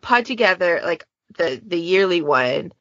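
A woman with a slightly low voice talks in a chatty, informal way, as in a casual podcast conversation. The recording is dry and a little dull and lo-fi. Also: lively intonation, measured pace, fairly bright tone, dry recording. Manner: chatty, informal, frequent "like" and quoted asides. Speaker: podcast participant, fan event moderator.

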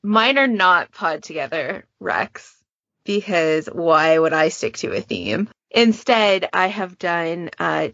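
A woman's voice: mid-range voice, vocal fry, fairly bright tone, no room sound. A woman on a podcast panel, casually explaining her recommendations, recorded with slightly dull audio.

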